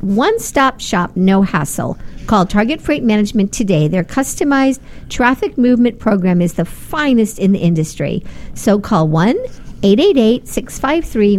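A woman's voice: mid-range voice, darkish tone. A woman co-hosting a radio show, moving between casual chat and live sponsor reads. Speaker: radio co-host.

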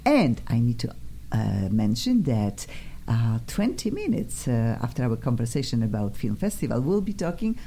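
A woman with a Polish accent hosting a casual studio interview, chatty and effusive, flattering her guests. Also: extremely low pitch, moderate pace, slight background noise. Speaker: radio show host. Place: radio studio.

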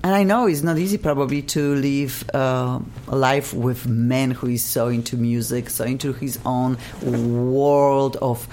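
A woman's voice: rumbling low, steady tone, a little slow, few pauses, mellow, a little hiss or hum. A woman with a Polish accent hosting an interview in a studio, gushing with praise for her guests. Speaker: radio show host. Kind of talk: interview chat.

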